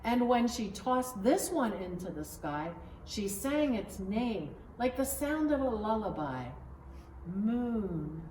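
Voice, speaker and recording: A woman voicing a gentle, playful father telling a story to his daughter, as a picture-book read-aloud. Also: mid-range voice, big pitch swings, slow pace. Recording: roomy, distant mic, faint background noise.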